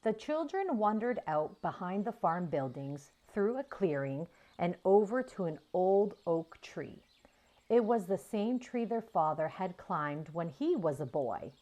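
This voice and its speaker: A woman reading a children's story aloud in an expressive storytelling style. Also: fairly low pitch, a little slow. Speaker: children's audiobook reader.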